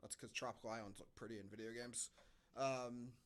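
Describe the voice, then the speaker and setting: A man's voice: medium pitch, fairly even intonation, brisk. A man co-hosting a podcast, casually reviewing a film with sarcastic asides and frequent swearing. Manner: sarcastic, profane. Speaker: podcast co-host.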